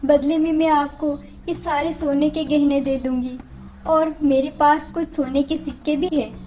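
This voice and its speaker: Woman voicing a high-pitched pleading young bride ghost. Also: little pitch movement, a little slow, mellow, a little hiss or hum, low-quality recording. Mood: plaintive pleading. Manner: plaintive, pleading. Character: weeping bride ghost-witch in disguise, pleading sweetly. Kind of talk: animated horror story dialogue.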